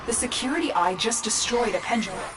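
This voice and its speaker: A woman's voice: a little high, animated, fast, very noisy, lightly acted. A woman playing a composed, protective commander who gives earnest, urgent mission guidance in a video game. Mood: focused instruction. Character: calm, guiding female commander, protective and urgent. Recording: loud music behind the voice. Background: loud game music.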